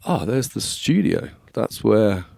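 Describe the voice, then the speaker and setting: An English man in his forties with a slightly scratchy voice, chatting casually as a podcast guest and telling stories in a relaxed way. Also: lowish voice, slow pace, gravelly, dry recording, studio quality. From England. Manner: relaxed, storytelling, frequent "yeah, man". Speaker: guest, worship label manager. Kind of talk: casual conversation.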